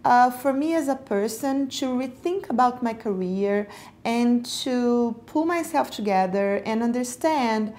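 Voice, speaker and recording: A woman's voice: a little high, animated, measured pace, a little mellow. A woman from Brazil, interviewed about job hunting, speaking reflectively and searching for words with frequent fillers. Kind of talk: personal interview.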